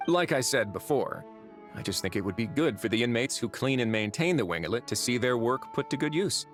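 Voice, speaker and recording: Male actor as composed prison administrator for a game; medium-pitched, businesslike, quietly commanding. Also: lively intonation, fairly quick, soft background music, natural voice. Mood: calm, decided. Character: calm, pragmatic, authoritative male prison administrator. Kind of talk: video game voice acting.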